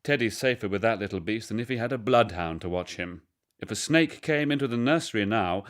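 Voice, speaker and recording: A man's voice: lowish voice, lively intonation, a little fast, fairly bright tone. A man voicing a big, confident English father, hearty and reassuring, in a storybook reading. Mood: dismissive.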